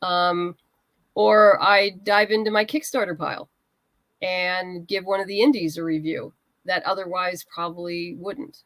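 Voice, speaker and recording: A woman's voice: lowish voice, fairly even intonation, measured pace, a bit bright, no echo. A woman chatting casually as an interview guest, giving practical advice in a conversational way. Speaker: guest writer and comics creator.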